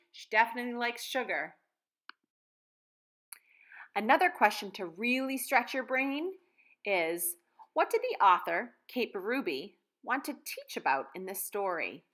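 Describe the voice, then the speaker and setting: A woman's voice: mid-range voice, a bit bright. A woman in her thirties giving a read-aloud lesson to children like a teacher, encouraging them with simple, direct questions. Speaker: elementary school teacher. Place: room at home.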